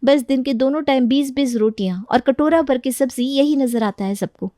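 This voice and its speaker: A woman voicing a feisty, argumentative young daughter-in-law in an animated family story, lecturing in an exasperated manner. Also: high-pitched, moderate pace, no echo, clean studio sound, natural voice. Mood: firm.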